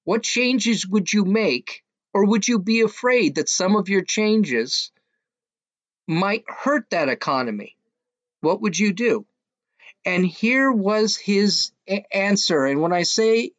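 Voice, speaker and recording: High-voiced sarcastic male commentator. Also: no room sound. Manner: sarcastic, scornful, laughs at his subject. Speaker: political commentary host. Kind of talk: mocking political commentary.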